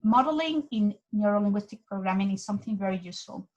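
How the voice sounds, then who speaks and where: A woman's voice: medium pitch, animated, fast, a little mellow, muffled recording. Woman giving a talk. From Mexico. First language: Spanish. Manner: earnest, with frequent restarts and repeated words. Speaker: guest speaker. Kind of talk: presentation talk.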